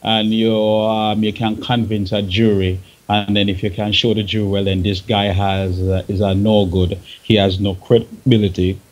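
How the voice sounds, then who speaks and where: A man's voice: on the low side, little pitch movement, moderate pace, hardly any pauses. A man with a Caribbean accent in an informal talk show discussion, repeating words and phrases for emphasis.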